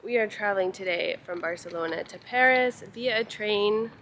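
A woman's voice: mid-range voice, moderate pace, fairly bright tone, a little hiss or hum. A young woman from Canada with a cold and a congested voice, chatting upbeat to viewers while vlogging at arm's length. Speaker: travel vlogger. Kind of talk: casual travel vlog. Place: on the go outdoors, airport and hostel room. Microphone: handheld camera at arm's length.

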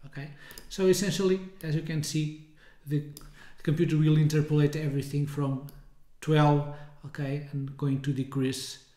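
A man in his thirties giving an informal, hesitant software demonstration, often rephrasing as he goes. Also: a little high, level tone, moderate pace, hardly any pauses. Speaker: software tutorial presenter. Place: indoors, small room with window blinds. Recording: roomy, echoey indoor sound.

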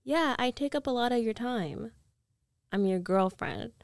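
A woman's voice: a little high, animated, unhurried, dry recording, studio quality. A woman playing a rich, possessive ex-girlfriend in an intimate ASMR roleplay, coaxing and self-assured with a condescending edge. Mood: possessive, insistent. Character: rich, domineering, possessive young ex-girlfriend coaxing her lover back. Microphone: close microphone, dry.

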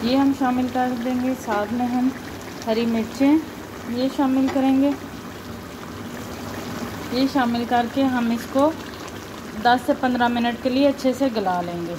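Woman, cooking instruction voice-over, calm and explanatory, clear food sizzle behind. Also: on the high side, unhurried, pauses often, background noise. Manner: calm, instructive, points things out to viewer. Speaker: cooking channel host. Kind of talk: step-by-step recipe voice-over. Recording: loud frying sizzle behind voice.

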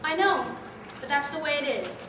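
Woman acting a high-voiced plucky heroine. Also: moderate pace, close to an everyday voice. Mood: resigned. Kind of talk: live staged radio play acting. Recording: echoey distant live hall, audience, noise.

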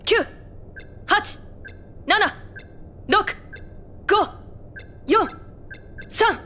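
Very high-pitched urgent radar operator. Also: female voice, very slow, sharp tone, a little hiss or hum, exaggerated voice. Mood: tense, focused. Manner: crisp, urgent reporting. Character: tense, alert young warship radar operator. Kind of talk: anime-style military audio drama acting.

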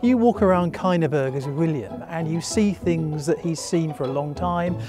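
A man's voice: a little high, normal speed, music in the background. An older man interviewed outdoors by a stone church, speaking with enthusiasm and some fillers. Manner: enthusiastic, warm praise with fillers. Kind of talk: interview testimony.

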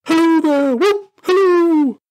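A man's voice: very high voice, slow, a little mellow, faint music behind, pitched above the performer's own voice. A man voicing a repentant old miser in a dramatic storybook reading, impassioned, theatrical and exclamatory. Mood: giddy, overjoyed.

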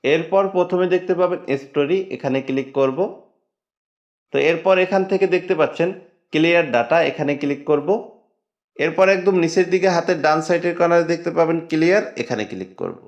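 A man narrating a step-by-step phone settings tutorial in a plain, steady instructional tone. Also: fairly high pitch, brisk. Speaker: tech tutorial host. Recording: dull lo-fi voice-over.

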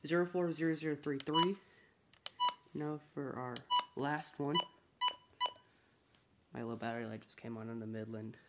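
Man muttering over loud noise and beeps. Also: mid-range voice, steady tone, normal speed, pauses often. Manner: muttering to himself, reading out digits in short fragments. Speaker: hobby video maker. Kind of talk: casual hands-on setup narration. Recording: very noisy handheld home recording with beeps. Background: loud noise, button clicks and electronic beeps.

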